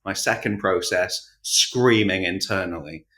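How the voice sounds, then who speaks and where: Lowish-voiced male narrator in a roomy space. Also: unhurried, pushed and bright. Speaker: audiobook narrator, podcast guest. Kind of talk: casual interview, recounting his work. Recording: roomy, echoing sound.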